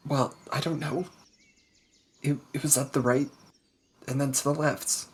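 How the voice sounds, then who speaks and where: A man's voice: medium pitch, steady tone, measured pace, husky. A man voicing a tense, watchful boy in a tabletop game, speaking tersely and cautiously.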